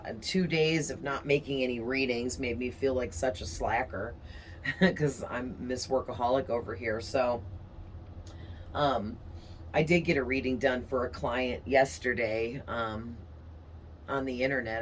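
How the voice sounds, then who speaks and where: A woman with a raspy, worn-out voice that sounds hoarse from overuse. She talks to her audience in a rambling, friendly way as a tarot reader, often checking in with "you know" and "okay?" There is a faint bit of room noise behind her. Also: very deep voice, steady tone, moderate pace. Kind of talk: casual chatty monologue to viewers.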